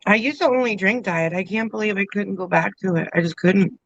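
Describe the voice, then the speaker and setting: A woman co-hosting a casual livestream, chatting in a relaxed way with plain short sentences. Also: medium pitch, a little fast, creaky, dry recording, lo-fi sound. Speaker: livestream co-host.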